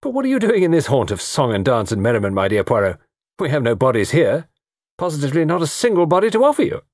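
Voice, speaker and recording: A man voicing a flippant, lovesick young society gentleman with a theatrical, bantering, mock-gloomy way of talking. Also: on the high side, huge pitch swings, fast talker, no room sound, clean studio sound, voice pushed up. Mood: jovial, flippant.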